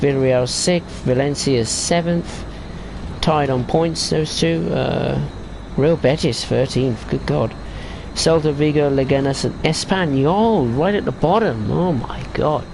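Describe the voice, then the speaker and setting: A man's voice: mid-range voice, creaky, background noise. A man casually chatting and rambling while streaming a train simulator game. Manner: chatty, rambling about football tables while driving. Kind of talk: casual gameplay commentary.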